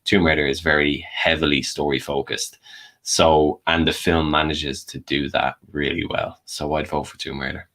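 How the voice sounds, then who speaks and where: Deep-voiced man in casual debate. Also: little pitch movement, moderate pace, a bit bright. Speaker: panel guest.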